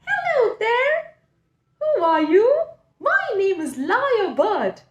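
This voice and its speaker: Woman as a very high, sweet storybook lyrebird. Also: sing-song, slow, highs cut off, full character voice. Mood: friendly greeting. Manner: gentle, coaxing, instructive. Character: sweet, kindly female lyrebird, a patient singing teacher. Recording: roomy echo.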